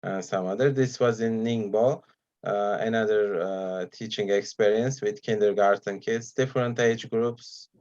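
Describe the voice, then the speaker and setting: A man with a fairly low voice, Turkish his first language, speaks as the guest of an online talk. He moves slowly through his points, stopping often on fillers. The sound is dull and muffled, as over a video call. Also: fairly even intonation, darkish tone. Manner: hesitant, many pauses and fillers.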